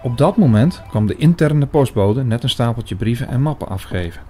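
A man narrating a radio play in the first person, measured and literary in his storytelling. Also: medium pitch, lively intonation, mellow, faint music behind. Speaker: narrator and main character.

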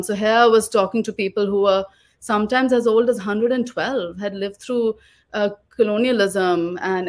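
Female, 30s, mid-pitched; articulate, explanatory guest speaker on a webinar. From Lahore, Pakistan. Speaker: guest oral historian and author. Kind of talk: reflective webinar interview talk. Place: living room at home, video call. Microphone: video call microphone.

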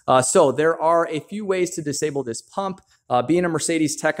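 A man mechanic casually explaining a brake job in a home garage, with lots of "uh" fillers, talking to viewers as guys. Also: a little high. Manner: relaxed, frequent "uh" fillers, addresses viewers as guys. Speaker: mechanic demonstrating brake service. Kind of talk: casual how-to walkthrough.

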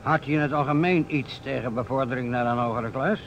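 Male inspector acted by a man, medium pitch, dry, questioning, muffled vintage radio play. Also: fairly quick, close to an everyday voice. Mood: probing. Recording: dull, noisy old radio recording.

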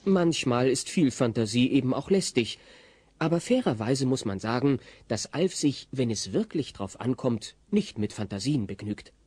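A man playing the wry, ironic narrator of a family comedy audio play, reading scripted narration in a husky voice. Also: medium pitch, animated, normal speed, a little mellow, no echo.

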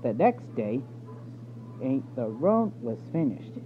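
A man's voice: medium pitch, big pitch swings, slow. A man reading a children's storybook aloud, stumbling now and then over the words. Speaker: storybook reader. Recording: tinny phone-like audio with background noise.

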